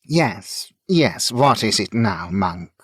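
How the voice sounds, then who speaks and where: A man's voice: fairly low pitch, very animated, slow pace, breathy edge, no room sound, studio quality, exaggerated voice. A man voicing a haughty, impatient female mage with an imperious, curt manner in a fantasy audiobook. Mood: irritated, curt. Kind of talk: fantasy audiobook character acting.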